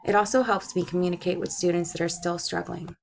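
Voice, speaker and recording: A woman's voice: on the low side, level tone, fast, vocal fry, slight background noise. A young woman in her twenties, from Puerto Rico, with a slight Brooklyn-tinged American accent, earnestly narrating her own story as a teacher. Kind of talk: personal narration read from a script.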